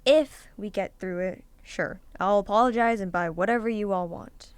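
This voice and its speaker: A woman's voice: mid-range voice, normal speed, smooth, dry recording, natural voice. A woman acting as a bitter, sarcastic young college student in an amateur audio drama, argumentative and pessimistic. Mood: bitter, apologetic. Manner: sardonic, argumentative.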